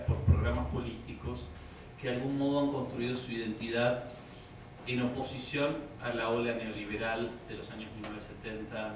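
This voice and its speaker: Distant Argentine professor in echoey hall. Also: male voice, mid-range voice. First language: Spanish. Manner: halting, restarts, switches into Spanish. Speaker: criminology professor, panelist. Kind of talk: academic lecture. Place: university auditorium. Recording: echoey lecture hall from far off, noisy.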